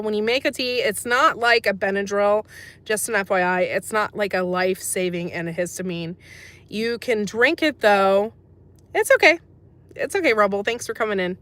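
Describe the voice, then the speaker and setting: A woman's voice: mid-range voice, a bit bright, dry recording. Chatty woman hosting a livestream. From USA. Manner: chatty, reads and answers live chat, laughs often. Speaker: livestream host. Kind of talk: casual livestream chat.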